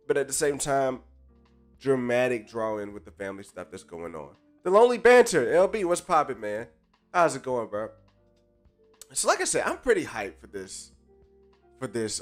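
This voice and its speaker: A stuffy, congested man hosts a live stream, chatting in hyped-up slang and calling out viewers by name. His voice sits at an ordinary middle pitch. Soft jazz plays faintly under him. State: stuffy nose, under the weather. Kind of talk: casual live-stream chat. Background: faint live jazz music.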